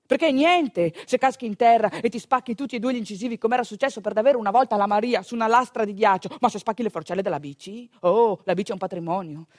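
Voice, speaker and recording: A woman with a northeastern Italian accent telling a theatrical monologue in an expressive, conversational manner. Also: mid-range voice, fast talker, few pauses, no room sound, clean studio sound. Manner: expressive, conversational, with rhetorical questions and asides. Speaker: stage actor and storyteller.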